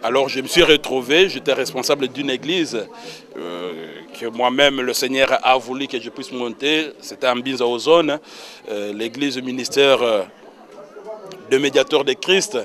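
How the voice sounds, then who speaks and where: A man's voice: medium pitch, moderate pace, fairly bright tone. A man with a Congolese accent, a church minister recounting his calling in a religious interview. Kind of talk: personal testimony in a religious interview.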